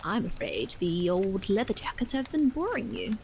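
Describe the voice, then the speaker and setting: A woman voicing an amiable, bookish gentleman in a story, genteel and wry in a measured way. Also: medium pitch, slight background noise. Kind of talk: audiobook character dialogue. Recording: steady rain sound over the voice.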